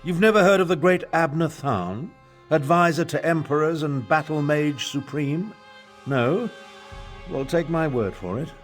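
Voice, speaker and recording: A man's voice: on the high side, unhurried, natural voice. An older man acting a pompous, haughty battle mage and imperial advisor in a video game, with theatrical delivery. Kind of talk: video game character dialogue. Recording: music behind the voice.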